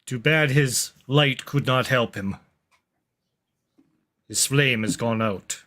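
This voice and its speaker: A man playing a tabletop roleplaying game, narrating his character's actions and lines in a measured, deliberate way. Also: mid-range voice, fairly bright tone.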